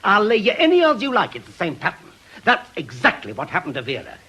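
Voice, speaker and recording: A cantankerous British ex-copper in a radio play. His voice is husky and fairly high for a man, and it swings widely as he presses his point with brusque scorn. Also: huge pitch swings, fast talker, bright, forceful tone, exaggerated voice. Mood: grim, insistent. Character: obsessive, cantankerous veteran ex-policeman and bereaved husband. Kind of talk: radio drama acting.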